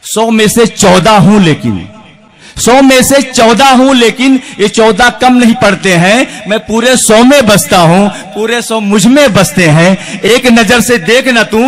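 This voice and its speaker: High-voiced man reciting poetry on stage. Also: lively intonation, measured pace. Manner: rhythmic declamation with refrains. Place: large outdoor stage with a crowd. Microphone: stage microphone.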